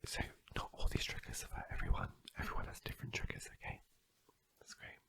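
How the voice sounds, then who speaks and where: A man speaking in a soft, breathy whisper, gently guiding and reassuring in an ASMR clinic roleplay. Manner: slow, gentle, reassuring, asking for feedback. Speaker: ASMR performer playing a sleep clinic tester.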